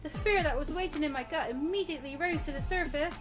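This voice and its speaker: A woman's voice: high-pitched, quick pace, over music, lightly acted. A woman voicing the frightened young protagonist of a horror visual novel, dramatic and anxious. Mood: alarmed.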